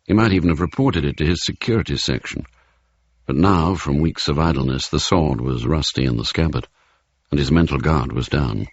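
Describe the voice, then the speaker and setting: A man playing the storyteller of a radio spy thriller, narrating in a grave, measured, reflective way. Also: very deep voice, dry recording, highs cut off. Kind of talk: scripted literary narration.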